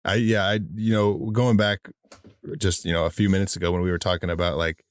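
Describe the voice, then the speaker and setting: A man's voice: low voice, flat delivery, brisk, smooth, no echo, studio quality. A man co-hosting a podcast, telling stories in a rambling way, laughing as he talks. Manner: rambling with many fillers and restarts, laughs while telling stories. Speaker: podcast co-host. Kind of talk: casual chat.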